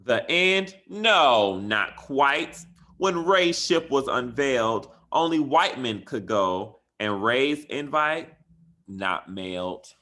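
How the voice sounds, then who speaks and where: A man reading a children's picture book aloud as a bedtime story, with animated emphasis, then giving school announcements to parents. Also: medium pitch, slow. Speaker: school administrator.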